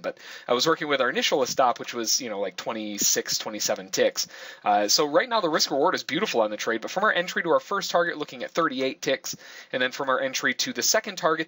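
A man with a medium-pitched voice talks through charts like an instructor. He explains his reasoning out loud in a casual, running commentary. The sound is close and dry, with no room around it. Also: no room sound. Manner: explanatory, thinking aloud. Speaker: day trading instructor. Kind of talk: casual live trade commentary over charts.

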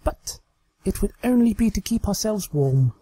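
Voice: mid-range voice, animated, normal speed, warm tone, slightly rough, dry recording, voice pushed up. A performer voicing a coy, melodramatic female cartoon pony magician in a fan-fiction reading, with an overly dramatic delivery. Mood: hesitant.

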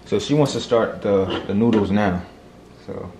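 A man's voice: fairly low pitch, measured pace. A young man co-hosting a home cooking vlog, chatting casually and informally in slangy speech. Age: young adult. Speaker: home cook and vlog co-host. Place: home kitchen, indoors. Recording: echoey kitchen with loud frying noise. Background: frying sizzle and stirring.

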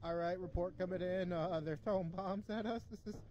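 Dude yelling and joking thru a co-op horror game, kinda high voice. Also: male voice, fairly quick, muffled recording, heavy background noise. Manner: jokey, excitable outbursts. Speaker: gaming channel host. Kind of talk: casual gaming chat with friends.

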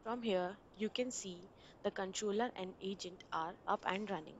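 A woman reading a screen-recording software walkthrough in a steady instructional way, with small grammar slips. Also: medium pitch, moderate pace, highs cut off, slight background noise. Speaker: software tutorial narrator.

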